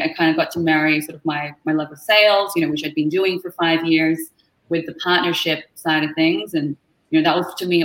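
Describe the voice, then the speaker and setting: A woman's voice: low voice, poor audio. A woman with an Australian accent, chatting as an upbeat guest on an interview show, with lots of fillers. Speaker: guest, partner manager. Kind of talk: casual interview chat.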